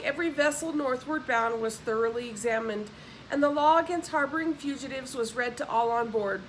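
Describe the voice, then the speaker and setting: High-pitched woman reading a book aloud. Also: fairly bright tone, a little hiss or hum, rough recording. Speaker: audiobook narrator. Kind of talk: expressive book reading with casual explanatory asides.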